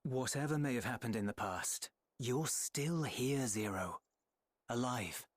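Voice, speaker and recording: A man voicing an earnest, steady companion in a game cutscene, speaking in an encouraging way. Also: medium pitch, a little slow, no room sound. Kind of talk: video game cutscene dialogue.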